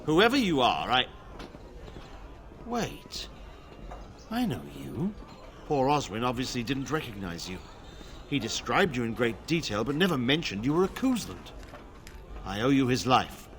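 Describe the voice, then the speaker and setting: A man's voice: mid-range voice, very animated, a little slow, pauses often, fairly bright tone. An actor playing a middle-aged nobleman in a fantasy video game, a proud, grateful father who speaks formally and with emphasis. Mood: surprised, grateful.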